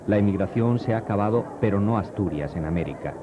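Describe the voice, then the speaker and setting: A man reading scripted narration for a television report in a measured, solemn manner. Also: lowish voice, expressive, background noise. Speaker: documentary narrator. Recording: dull old TV audio under music.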